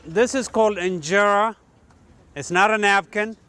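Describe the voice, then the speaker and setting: An older man from Ethiopia speaking casually to a group, relaxed and hesitant with fillers. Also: high voice, a little slow, a crowd in the background. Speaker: Rotary volunteer.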